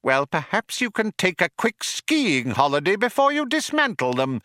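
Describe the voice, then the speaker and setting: A man's voice: on the high side, expressive, moderate pace, sharp tone, no room sound, clean studio sound, voice pushed up. A man voicing a small talking mouse in an audiobook, coolly acidic and matter-of-fact. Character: small pink-eyed male mouse, coolly acidic and dismissive. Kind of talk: audiobook character voice.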